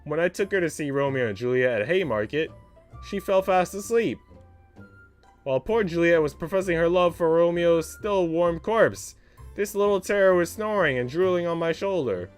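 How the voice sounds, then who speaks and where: Man acting mischievous older woman, visual novel character, playful, dramatic, swooping pitch, music underneath. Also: animated, moderate pace, smooth, lightly acted. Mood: theatrical. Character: aloof older woman, teasing, mischievous, theatrical violinist. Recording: music playing behind the voice.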